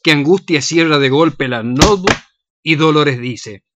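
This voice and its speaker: A woman's voice: low-pitched, a little slow, noisy background. A woman narrating the action of a radio play from a script.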